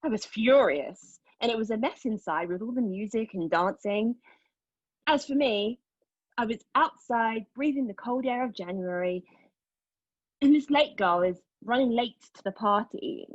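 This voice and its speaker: Woman acting defiant agitated woman, stage play reading, slow halting delivery, emotional, outspoken, muffled lo-fi sound. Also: a little high, no room sound. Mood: candid, nervous. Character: defiant, agitated woman arguing with a machine, giving personal testimony. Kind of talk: table read of a play, dramatic monologue.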